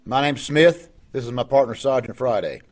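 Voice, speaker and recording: An actor playing a courteous, slightly halting police officer in an old-time radio police drama. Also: male voice, mid-range voice, lively intonation, natural voice. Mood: polite. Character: polite, hesitant male police officer, the sergeant's partner.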